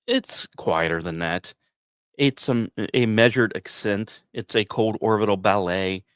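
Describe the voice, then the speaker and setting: A man hosting a podcast, delivering scripted storytelling narration with dramatic emphasis and deliberate pauses. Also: on the low side, fairly bright tone, clear, resonant tone, no echo, clean studio sound. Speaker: podcast host.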